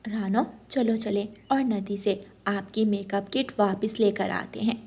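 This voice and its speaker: A woman voicing a calm, sensible, helpful female bird in a cartoon. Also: medium pitch, measured pace, slightly rough, pitched below the performer's own voice. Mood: reassuring.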